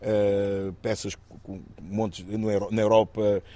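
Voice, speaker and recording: A man in his fifties from Guinea-Bissau, talking about his life in an interview, thoughtful and halting, with many restarts. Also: fairly low pitch, level tone, mellow. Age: 50s. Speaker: interviewee, actor and musician. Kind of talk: reflective personal interview.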